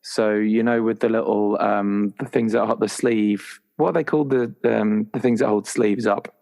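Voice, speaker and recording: A man's voice: lowish voice, steady tone, moderate pace, gravelly, darkish tone. A man chatting casually and playfully as a roleplaying player, sometimes slipping into imagined dialogue. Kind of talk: casual chat, inventing a character.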